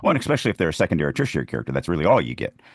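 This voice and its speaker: Low deep guy chatting about writing on a podcast, real dry recording, kinda emphatic. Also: big pitch swings, very fast, vocal fry, breathy edge, no room sound. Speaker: writer co-hosting a writing podcast. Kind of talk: casual conversational writing discussion.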